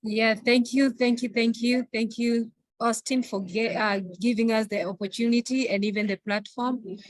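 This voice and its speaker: A woman's voice: a little high, steady tone, normal speed, dry recording, poor audio. Female presenter, hesitant, dry close mic. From Kenya. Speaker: financial professional, webinar presenter.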